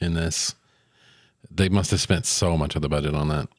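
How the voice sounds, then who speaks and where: A man's voice: very deep voice, steady tone, normal speed, no room sound, studio quality. A man co-hosting a film review show, chatting casually with his fellow host. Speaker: podcast co-host reviewing films.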